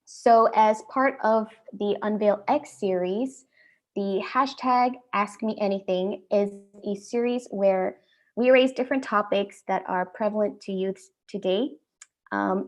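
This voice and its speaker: A young adult woman with an Indian accent, hosting an online panel talk in a friendly, measured way with frequent ums. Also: mid-range voice. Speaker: host.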